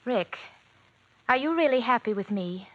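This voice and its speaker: An actress playing a bored, thrill-seeking wife who taunts coolly and teasingly in an old-time radio mystery. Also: female voice, fairly high pitch, animated, unhurried, muffled recording. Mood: probing, taunting.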